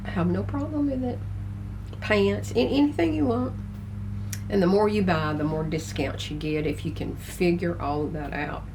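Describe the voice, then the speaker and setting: Older woman, gruff and sniffly, lowish voice, rambling home vlog chat over a faint hum. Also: normal speed. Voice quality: gruff, congested. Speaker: vlogger. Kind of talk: casual chat to camera. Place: tiny house.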